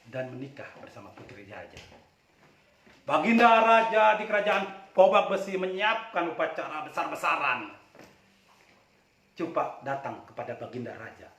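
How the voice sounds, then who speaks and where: A man's voice: fairly high pitch, a bit bright. A man telling a folk tale in a dramatic, playful way. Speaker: storyteller. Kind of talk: animated oral folk storytelling. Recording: echoey room, distant from mic.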